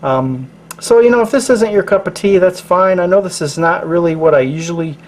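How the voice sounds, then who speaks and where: A man hosting his channel, explaining things casually in a halting, low-key, rambling way. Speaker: channel host. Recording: noticeable background noise.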